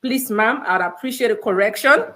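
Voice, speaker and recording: A woman's voice: on the high side, moderate pace, sharp tone. A woman hosting a live online interview, chatty and polite, with plenty of "you know" and "um" fillers. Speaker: host.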